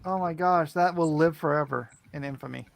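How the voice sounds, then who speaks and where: A man's voice: fairly high pitch, a little fast. A man from Hawaii hosting a casual livestream chat, joking and teasing his guests and laughing often. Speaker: comic artist host. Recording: clear steady background noise.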